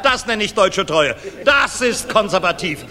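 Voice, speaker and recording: An actor playing a dashing, hard-drinking, sardonic general in a 1960s German radio drama. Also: male voice, high voice, expressive, unhurried, heavily acted. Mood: boisterous amusement. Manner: boisterous, jovial, sharp-tongued. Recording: reverberant mono 1960s radio recording, music behind.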